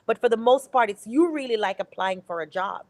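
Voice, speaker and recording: A woman's voice: a little high, animated, brisk, no echo. A woman giving immigration advice on a live call-in show, direct and encouraging. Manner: warm, emphatic, encouraging.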